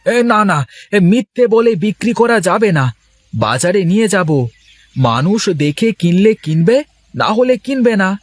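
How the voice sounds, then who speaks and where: A man voicing a poor, excitable village fisherman in a folksy moral-story cartoon. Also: high voice, normal speed, dry recording. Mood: earnest, arguing.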